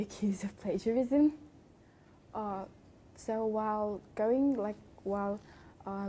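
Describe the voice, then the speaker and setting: Young woman, relaxed warm tone, earnest student testimonial. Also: medium pitch, a little hiss or hum. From Nepal. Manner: earnest, explanatory, occasional light laughs. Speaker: accounting student. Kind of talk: casual testimonial.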